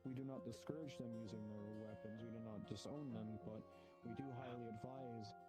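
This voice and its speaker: Male guild guide for improvised roleplay: average register, polite long-winded explanations, clear music underneath. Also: medium pitch, fairly even intonation, brisk. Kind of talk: improvised in-character orientation in VR roleplay. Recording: music behind the voice.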